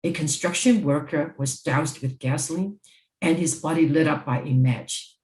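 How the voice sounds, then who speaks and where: A mature woman from Hong Kong giving a scripted panel speech in a formal, deliberate, emphatic manner. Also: low-pitched, darkish tone. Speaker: panel speaker, former judge. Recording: roomy, echoing sound.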